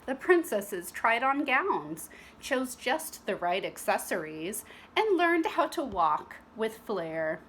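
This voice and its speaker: A woman's voice: on the high side, very animated, slow, a bit bright, slight background noise, rough recording. A woman narrating a children's storybook expressively, with teacherly asides to young listeners. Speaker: storybook reader.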